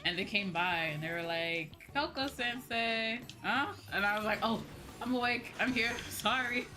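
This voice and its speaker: A woman's voice: on the high side, normal speed. A young woman chatting casually and playfully with viewers on a livestream as a game streamer. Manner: animated, playful, conversational. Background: music.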